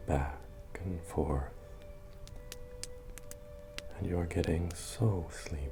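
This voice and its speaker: A man playing a friendly, humble medieval fantasy innkeeper in a soft, breathy, soothing ASMR roleplay voice. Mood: hushed, hypnotic. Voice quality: deep, breathy, often near a whisper. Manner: slow, soothing, gently repetitive. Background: music.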